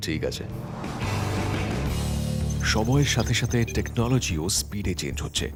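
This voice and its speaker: A man's voice: lowish voice, lively intonation, unhurried, over loud music. A man playing a steadfast senior police inspector in a cartoon crime drama, firm and authoritative. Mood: calm. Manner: firm, authoritative, plain-spoken. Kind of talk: animated crime drama acting.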